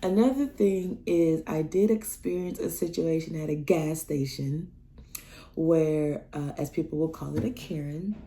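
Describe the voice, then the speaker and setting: Animated young woman telling stories, mellow. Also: measured pace. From Nebraska. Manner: animated, acts out dialogue with big pitch jumps. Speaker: vlogger. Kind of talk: casual storytelling vlog.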